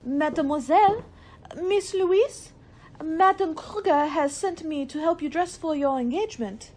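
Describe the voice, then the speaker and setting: A woman playing a gushing French maid in a radio play, with a French accent and halting, hesitant English. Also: high voice, darkish tone, slight background noise. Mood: hesitant, confiding. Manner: hesitant, searching for English words.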